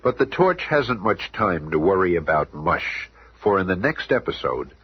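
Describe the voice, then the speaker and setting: A man's voice: low-pitched, big pitch swings, normal speed, breathy edge, exaggerated voice. A man narrating a 1970s superhero radio serial in a portentous, suspenseful style. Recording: dull vintage radio recording. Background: dramatic music.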